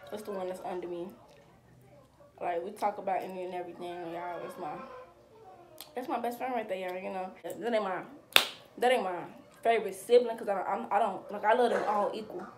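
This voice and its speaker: An eighteen-year-old woman chats through a viewer Q&A in a loose, slangy way and gestures as she talks. Her voice sits at a middle pitch. She records on a desk webcam in a small room at home, with faint noise in the background. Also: normal speed, long pauses. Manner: chatty, slangy, animated with hand gestures. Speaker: vlogger. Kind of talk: casual Q&A chat.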